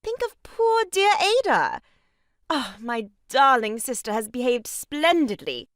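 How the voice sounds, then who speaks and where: A woman's voice: a little high, very animated, measured pace, fairly bright tone, no echo, clean studio sound. A woman playing an arch, mock-pious young sister-in-law in a comic stage farce, scolding in a grand theatrical way. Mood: mock-solemn reproach.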